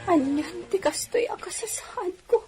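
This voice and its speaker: A woman's voice: extremely high pitch, lively intonation, normal speed, music in the background. A woman playing a long-suffering village wife, lamenting plaintively in a melodramatic Ilocano radio drama.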